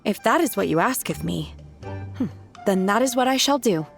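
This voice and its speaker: Reserved young female disciple, medium pitch, earnest, formal, deadpan, game character, soft music behind. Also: normal speed, gravelly. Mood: earnest, puzzled. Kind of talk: animated game voice acting.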